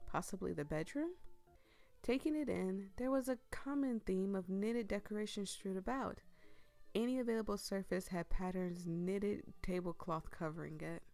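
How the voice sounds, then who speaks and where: A woman streamer reading a visual novel aloud, with gushing, giggly asides and a voice that is going hoarse. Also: medium pitch, a little mellow. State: losing her voice. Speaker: gameplay streamer.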